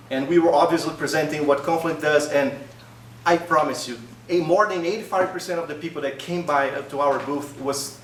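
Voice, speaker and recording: A man in his thirties from Brazil with a Brazilian Portuguese accent, giving a casual conference talk as a developer advocate, often adding tag questions. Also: a little high. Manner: conversational, frequent tag questions. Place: small meeting room, indoors. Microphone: far camera. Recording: roomy, distant, faint hiss.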